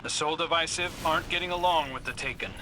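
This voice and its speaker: A man's voice: on the high side, quick pace, heavy background noise, lightly acted. A man playing a wry, loyal scout in a video game, talking in a casual, dry, earnest way. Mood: focused. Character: wry male scout, weary fallen prince, loyal and alert. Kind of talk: video game mission dialogue.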